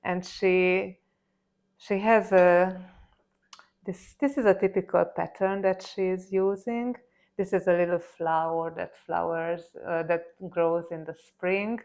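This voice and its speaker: A woman from Hungary with a Hungarian accent, speaking English as a second language in a casual interview, thoughtful and halting with frequent restarts. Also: fairly low pitch, fairly even intonation, darkish tone. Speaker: interview guest.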